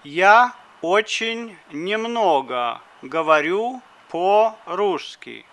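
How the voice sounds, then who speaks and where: A man reads drill phrases for a language lesson, pronouncing each word with great care. He speaks very slowly. His voice is fairly high and smooth. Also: expressive, fairly bright tone. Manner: deliberate, carefully articulated phrases. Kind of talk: slow phrasebook drill reading.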